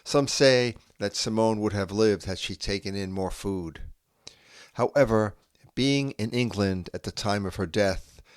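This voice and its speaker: A man reading a philosophical essay aloud in a measured, deliberate way, with long pauses between phrases. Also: fairly low pitch. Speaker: reader and host. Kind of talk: slow solemn reading of an essay.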